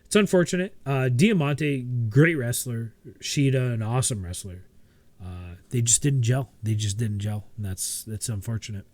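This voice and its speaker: A man co-hosting a wrestling chat podcast, easygoing and rambling, full of fillers and restarts. His voice sits at an ordinary pitch and sounds close and dry, with no room around it. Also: normal speed, a little mellow, no room sound. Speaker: podcast co-host. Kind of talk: casual chat.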